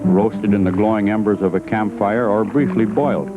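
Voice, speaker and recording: A man's voice: fairly low pitch, steady tone, moderate pace, phone-quality sound, loud music behind the voice. A man reading scripted narration for an educational film, on an old 1980s film soundtrack.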